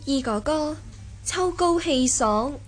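A woman's voice: high-pitched, exaggerated voice. A woman playing a cheerful, refined young lady of a noble family in a radio drama. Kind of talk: Cantonese radio drama acting.

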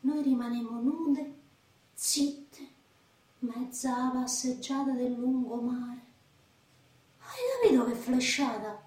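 A defiant young Roman woman, played by an actress, with a high voice and a brash, combative way of talking. She speaks broad street slang in a radio play. The sound is echoey and a little distant from the microphone. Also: animated, slow, long pauses, a little mellow. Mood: dreamy, aggrieved. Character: defiant, foul-mouthed young woman from Rome's outskirts. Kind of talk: radio drama acting. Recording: roomy echo, voice off the mic.

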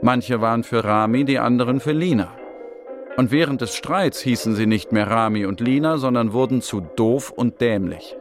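A man's voice: mid-range voice, normal speed, lightly acted. A man playing an earnest Syrian puppeteer who narrates his refugee-camp story in a scripted radio drama. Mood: wistful, narrating. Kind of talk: scripted radio-drama narration, German voice-over of an Arabic speaker. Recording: music behind the voice. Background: music.

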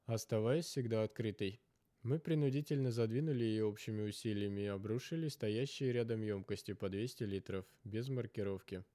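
A man's voice: on the low side, fairly quick, mellow, no echo. A man reading a first-person literary story as a scripted narrator.

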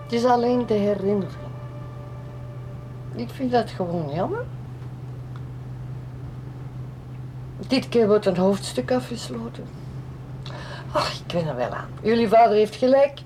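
A woman's voice: fairly high pitch, expressive, moderate pace, long pauses, mellow, faint music behind, natural voice, low-quality recording. An actress playing a sentimental, nostalgic middle-aged mother in a radio drama. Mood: resigned. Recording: radio play with faint background noise.

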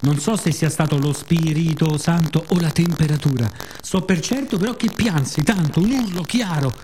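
A man delivers a scripted radio theatre monologue as a storyteller, in a measured, reflective, dramatic way with pauses. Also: high-pitched, darkish tone, slight background noise.

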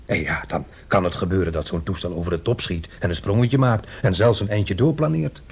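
An actor playing a proud, eager American inventor in a Dutch radio play, explaining earnestly and insistently. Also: male voice, deep voice, brisk, a little mellow, slightly rough. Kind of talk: 1970s Dutch radio drama acting. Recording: dull old radio play recording.

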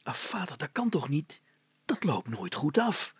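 A man's voice: a little high, sing-song, measured pace, husky, no echo, voice pushed up, heavily acted. A man voicing an anxious, panting young son, a big simple lad in a storybook tale. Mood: worried. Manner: panting, worried, hesitant.

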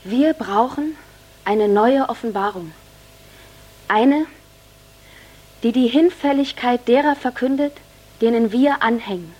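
An actress playing a solemn woman who recites a bleak manifesto in an audio drama, grave and deliberate in her phrasing. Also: high-pitched, a little mellow.

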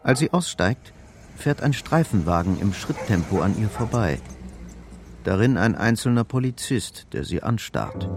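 An actor as the grave narrator of a crime thriller radio drama, telling it in short, scripted present-tense sentences. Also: male voice, medium pitch. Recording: music behind the voice.

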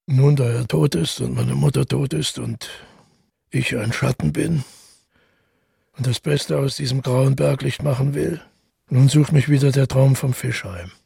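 Gravelly, brooding man, close and dry. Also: mid-range voice, a little slow, dark tone, no room sound, full character voice. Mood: brooding, reflective. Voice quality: gravelly, husky. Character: brooding, isolated man haunted by dreams and guilt. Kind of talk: literary radio drama monologue.

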